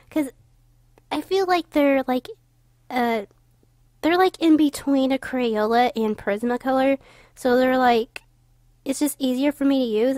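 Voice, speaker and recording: Young female vlogger, higher voice, stuffy and tired, rambling with fillers. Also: no echo, clean studio sound. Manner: rambling, many fillers and restarts. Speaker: YouTuber narrating her drawing. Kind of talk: casual voice-over commentary.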